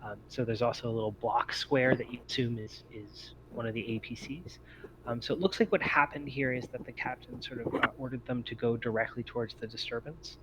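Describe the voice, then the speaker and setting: A man's voice: medium pitch, fairly even intonation, fairly quick, very noisy. A man working as game master, narrating a tabletop roleplaying game in an improvised, conversational and hesitant way.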